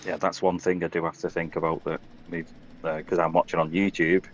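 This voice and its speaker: Very deep-voiced man on a dull call line. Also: little pitch movement, a little fast, slight background noise. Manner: easygoing, puzzled musing. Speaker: guest commentator. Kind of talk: casual race chat. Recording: slightly dull lo-fi call audio.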